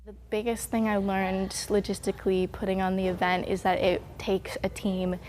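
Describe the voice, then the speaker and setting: A woman's voice: mid-range voice, moderate pace, slight background noise. A teenage girl who organized a student event, earnestly explaining it in a video interview. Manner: earnest, even-toned explaining.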